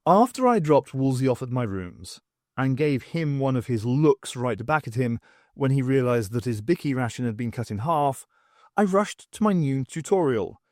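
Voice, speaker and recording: A man's voice: medium pitch, a little mellow, dry recording, clean studio sound. A man reading a novel aloud as an audiobook narrator, in scripted storytelling style.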